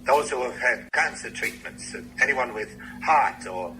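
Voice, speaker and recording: Radio drama solemn scientist on a conference call, piercingly bright mid male voice, faint hum. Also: mid-range voice, expressive, unhurried. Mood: grave, earnest. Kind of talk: naturalistic radio drama acting. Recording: faint noisy internet call.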